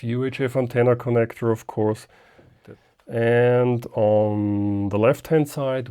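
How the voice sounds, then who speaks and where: A man presenting a casual, unscripted product unboxing, thinking aloud haltingly as he handles things. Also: lowish voice, warm tone. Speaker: amateur radio video host.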